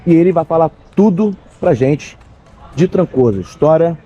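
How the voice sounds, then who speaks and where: A man's voice: fairly high pitch, expressive, normal speed, warm tone, lo-fi sound. A man hosting a travel vlog outdoors, chatting casually and reacting playfully to a local guide. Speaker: travel vlogger.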